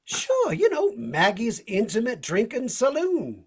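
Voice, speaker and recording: Husky, swooping Southern cowboy sidekick. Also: male voice, extremely high pitch, huge pitch swings, measured pace, exaggerated voice. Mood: breezy, boastful. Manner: drawling folksy slang with chuckles. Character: folksy, easygoing Texan-style cowboy sidekick, tough but playful. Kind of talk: vintage radio drama acting.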